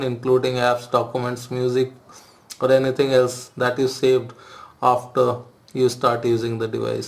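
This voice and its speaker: Male tech host, monotone, halting delivery with many fillers, tutorial walkthrough. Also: medium pitch. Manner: hesitant, frequent "uh" fillers, flat delivery.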